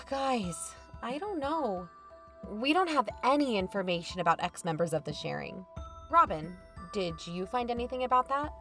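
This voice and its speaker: A woman's voice: fairly high pitch, animated, moderate pace, fairly bright tone, soft background music. A woman playing a pragmatic investigator who mediates and questions in a scripted podcast drama. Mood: measured, questioning. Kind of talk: scripted audio drama dialogue.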